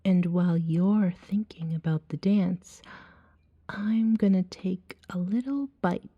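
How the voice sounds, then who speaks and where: A woman voicing a seductive, gently hypnotic female vampire in a soft ASMR roleplay, breathy and often near a whisper, coaxing and soothing as she tells a story to the listener. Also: lowish voice, lo-fi sound, full character voice. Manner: slow, soothing, hypnotic second-person narration. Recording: long hall-like reverb.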